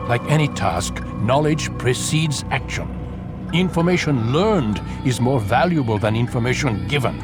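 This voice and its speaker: A man playing a stern, cryptic older master of an assassin order in a video game, measured and authoritative. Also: mid-range voice, expressive, music in the background, lightly acted. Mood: measured, instructive. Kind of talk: dramatic video game acting.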